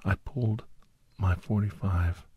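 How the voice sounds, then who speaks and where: Man acting a slow, whispery, grave ex-soldier. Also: deep voice, no echo, lo-fi sound, heavily acted. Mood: tense, urgent. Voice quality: breathy, husky. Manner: hushed, halting, often dropping into whisper. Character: doctor and ex-soldier recounting a battle, grave, anxious. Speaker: storyteller. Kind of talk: ASMR first-person dramatic story narration.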